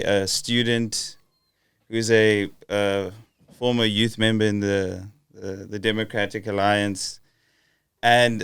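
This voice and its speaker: Young guy hosting a podcast, lowish voice, super dry close sound, lots of ums. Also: clean tone, no room sound. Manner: hesitant, many fillers and restarts. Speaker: podcast host. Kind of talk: casual podcast interview.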